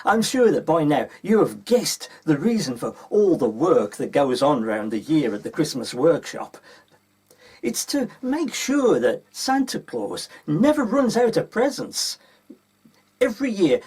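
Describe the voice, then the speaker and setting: A man with a husky voice reading a children's story aloud as a storyteller. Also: fairly high pitch, big pitch swings, a little slow, a little mellow.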